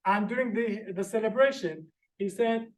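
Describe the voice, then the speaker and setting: A man with a high voice lectures from his slides, explaining each term one at a time in an earnest way. The sound is roomy and echoey, as if caught in a lecture room. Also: normal speed. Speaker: visiting lecturer.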